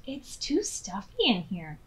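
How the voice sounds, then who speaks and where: A woman voicing an eager, stubborn young female storybook character in an expressive, pleading way. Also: medium pitch, takes it slowly. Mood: sulky, insistent.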